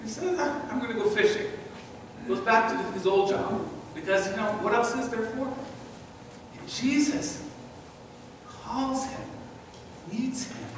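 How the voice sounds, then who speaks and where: A middle-aged man preaching a sermon as pastor in a church sanctuary, animated and gesturing as he walks before the altar. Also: very high-pitched, a little slow, pauses often, a little hiss or hum. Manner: animated, sweeping arm gestures while walking. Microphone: far camera in the church. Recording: echoey church from a distance.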